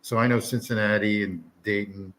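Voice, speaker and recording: Bright, chatty older man. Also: on the low side, fairly even intonation, slight background noise. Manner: talkative, quick to share, repeats himself. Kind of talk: casual chat.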